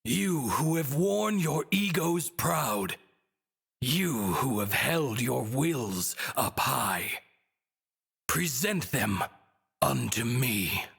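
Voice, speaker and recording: A man playing a vain, empty evil god in a video game, declaiming solemnly and pompously in a husky, gravelly voice. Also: medium pitch, expressive, fairly bright tone, full character voice. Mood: solemn, ominous. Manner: slow, incantatory, pompous declamation. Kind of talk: theatrical video game boss monologue. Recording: game music behind the voice.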